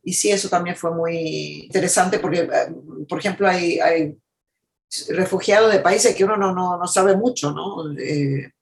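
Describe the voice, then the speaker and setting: A woman's voice: on the low side, measured pace, vocal fry, poor audio. Reflective woman recounting in an interview. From United States, Argentine family. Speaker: journalist guest being interviewed. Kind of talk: conversational interview storytelling.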